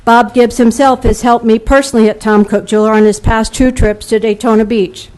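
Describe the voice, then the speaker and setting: A woman who owns a local shop, reading a prepared statement to a city board in a steady, formal way. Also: a little high, brisk, rough recording. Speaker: local business owner speaking at public comment. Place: city commission meeting room.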